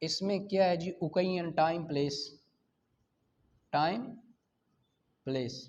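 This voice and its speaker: A man with a Pakistani accent and a high-pitched voice teaches law in an exam-prep lecture style. He explains didactically, posing questions and then answering them. The recording is dull and lo-fi. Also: takes it slowly, darkish tone. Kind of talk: explanatory exam-prep lecture mixing Urdu with English legal terms.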